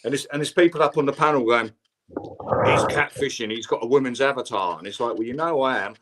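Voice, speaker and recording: A man talking bluntly and swearing through a casual story. His pitch sits in the ordinary middle range. He tells the story in a plain, matter-of-fact way. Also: fast, fairly bright tone, slight background noise. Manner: blunt, sweary, calls people mate.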